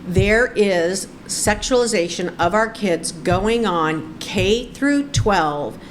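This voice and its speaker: A woman's voice: medium pitch, animated, slow, slight background noise, rough recording. Firm, passionate middle-aged woman. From Colorado. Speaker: guest, advocate. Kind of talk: interview.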